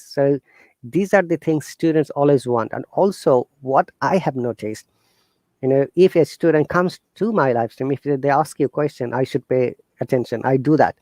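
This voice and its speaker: Man, Bangladeshi accent, mid-pitched voice, dry close sound, earnest halting delivery. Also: dry recording. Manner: earnest, animated, halting with fillers and restarts. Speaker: guest teacher. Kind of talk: casual interview talk.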